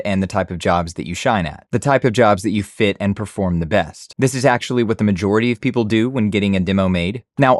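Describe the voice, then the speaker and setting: A man with a low-pitched voice delivers a scripted explainer with upbeat, instructive energy. He leans hard on key words. The sound is close and dry, from a studio condenser mic with no room around it. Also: no echo. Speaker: voice actor and YouTube host. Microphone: studio condenser mic on an arm.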